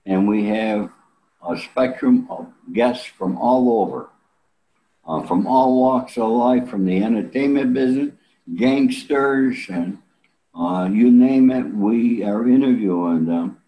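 An elderly man talking casually and bluntly as an interview guest, salty and pausing often, on a video call from a room at home. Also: mid-range voice, lo-fi sound. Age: 70s or older. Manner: blunt, salty, with frequent pauses.

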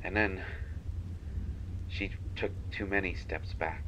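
A man voicing a kindhearted, haunted young banshee in a dramatic monologue, halting between phrases as if confessing. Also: sits low, fairly even intonation, lo-fi sound, a little hiss or hum. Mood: somber, regretful. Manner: halting, hushed confession with long pauses. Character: kindhearted young male banshee, half-fey, haunted and menacing.

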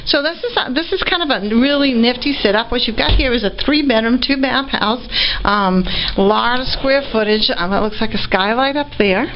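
A woman's voice: fairly high pitch, moderate pace, slight background noise. Chatty woman touring a house. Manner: chatty, upbeat, lots of fillers. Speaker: real estate auction previewer. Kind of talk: walking property tour commentary. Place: indoors and outdoors around a house. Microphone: handheld camera mic while walking. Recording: dull lo-fi camera audio.

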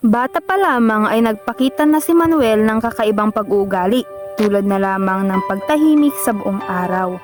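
A woman's voice: medium pitch, lively intonation, normal speed, darkish tone. A woman with a Filipino accent narrating a scripted radio drama as a storyteller. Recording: music playing behind the voice. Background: instrumental music.

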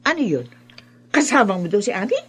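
A woman's voice: medium pitch, very animated, a little fast, slightly rough. A woman playing a nosy, judgmental middle-aged neighbor in a Filipino radio drama, inquisitive and easily scandalized. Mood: disapproving.

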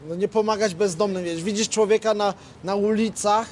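A man's voice: high voice, fairly even intonation, normal speed. A man filming a street interview at night, asking short prompting questions. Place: city street at night, outdoors. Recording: loud street noise.

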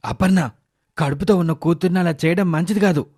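A man's voice: sits high, fairly quick, darkish tone, slightly rough, voice pushed up. A man voicing a loving, protective middle-aged father, earnest and affectionate, in a moral story. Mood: protective, reproachful.

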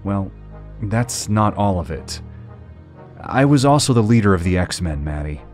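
A man playing a stunned young hero leader who speaks haltingly in an audio drama. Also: lowish voice, lively intonation, darkish tone, faint music behind. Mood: shaken. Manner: halting, disbelieving speech.

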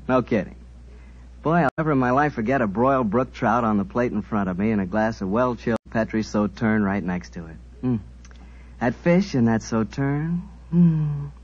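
Announcer, male, husky, lively folksy ad read, dull old radio sound. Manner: friendly, folksy salesman pitch.

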